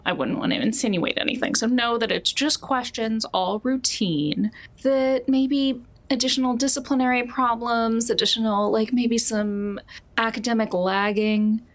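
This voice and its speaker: A woman's voice: a little high, fairly even intonation, normal speed, lightly acted. A woman playing a polite, probing district official in a tabletop game, asking formal, tactful, leading questions. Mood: tactfully probing.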